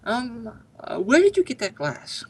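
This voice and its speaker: Man playing a husky, firm, polite official. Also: extremely high pitch, wildly swooping pitch, measured pace, darkish tone, full character voice. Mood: polite, questioning. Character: matter-of-fact fingerprint department official, firm and polite. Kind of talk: old time radio drama acting.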